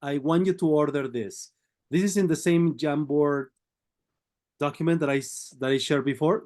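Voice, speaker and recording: A man's voice: on the high side, darkish tone. A man teaching an online class over a video call, patiently prompting students with questions. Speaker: language teacher.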